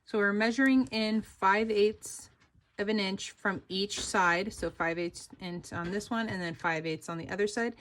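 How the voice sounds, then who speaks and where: A woman's voice: mid-range voice, unhurried, fairly bright tone. A young woman casually walking viewers through a sewing pattern step by step, upbeat and full of fillers. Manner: chatty, upbeat, many fillers like "like" and "oop". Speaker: sewing pattern designer teaching a tutorial.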